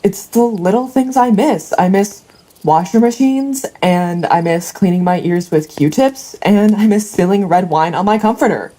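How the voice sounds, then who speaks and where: A woman's voice: medium pitch, measured pace, dark tone, lightly acted. A woman in an audio drama playing a chatty, nostalgic former city dweller on a ranch, with a conversational, wistful delivery. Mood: wistful, pleading.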